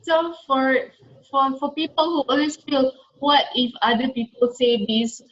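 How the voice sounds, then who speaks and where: A woman's voice: sits high, measured pace, a bit bright, highs cut off. A Singaporean woman being interviewed, talking conversationally and motivationally in an emphatic way that repeats key phrases. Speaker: interview guest, coach.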